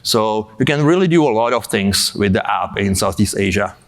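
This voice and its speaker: A man's voice: fairly low pitch, animated, normal speed. Czech-born man in his 30s with a cold; casual, filler-heavy conference speaker. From Czech Republic. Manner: casual, many fillers and asides. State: has a cold. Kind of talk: tech conference talk.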